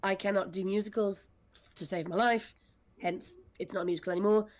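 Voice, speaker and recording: A woman's voice: medium pitch, fairly even intonation, a little fast, a little mellow. A woman in a casual panel chat, talking as a writer and director with dry, wry humor. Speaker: audio drama writer and director.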